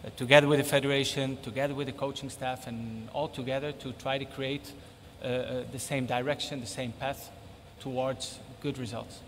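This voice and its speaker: Measured man, native Spanish speaker, reverberant. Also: medium pitch, steady tone, fairly bright tone, a little hiss or hum. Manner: measured, thoughtful, repeats himself for emphasis. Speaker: interviewee, football technical advisor. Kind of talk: interview answers.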